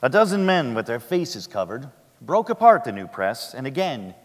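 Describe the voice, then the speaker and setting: A man's voice: mid-range voice, sing-song, moderate pace, in a large hall. A man giving scripted dramatic narration from a theatre stage.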